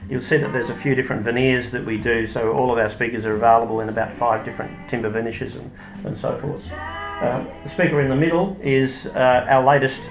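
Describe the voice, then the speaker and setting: Australian male product manager, hesitant explainer, interview setting with echo and clear background music. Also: mid-range voice. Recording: roomy echo with music behind.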